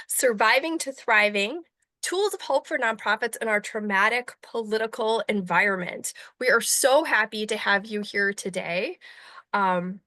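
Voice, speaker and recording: A woman's voice: fairly high pitch, fairly bright tone, dry recording. A woman hosting a webinar, welcoming people in an upbeat, enthusiastic manner. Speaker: webinar host, nonprofit coach.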